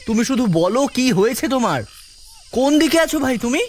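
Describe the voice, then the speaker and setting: উঁচু স্বরের ভীত গ্রাম্য যুবক, পিছনে ঝিঁঝিঁ ও ব্যাঙের ডাক. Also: male voice, very high-pitched, sing-song, moderate pace. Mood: alarmed, pleading. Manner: anxious, pleading, muttering. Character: frightened village youth out fishing alone at night. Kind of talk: dramatised audio horror story. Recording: noisy night ambience of insects, frogs.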